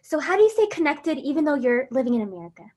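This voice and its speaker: A woman's voice: sits high, sing-song, very fast, a little mellow. A woman asking questions in a casual conversation, attentive and encouraging. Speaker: interviewer.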